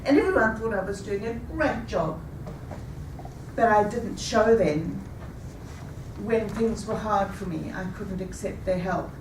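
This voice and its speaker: Woman, fifties, rehearsed personal story, unhurried, recorded far off in a roomy hall with audible background noise. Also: mid-range voice. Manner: measured, deliberate delivery. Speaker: speaker. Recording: echoey room, taken from a distance.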